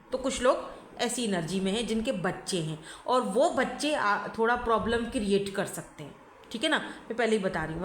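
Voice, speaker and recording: A woman giving a casual tarot reading, hoarse from a sore throat and flu, chatty and often checking in with her listener while mixing in English words. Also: on the high side, slight background noise. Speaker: tarot reader. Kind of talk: casual pick-a-card reading to camera. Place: indoors at home by a window. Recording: echoey room.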